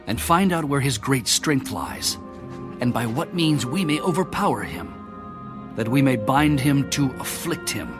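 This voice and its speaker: An actor playing a sly, calculating ancient lord with an insinuating manner in a dramatic audio Bible production. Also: male voice, mid-range voice.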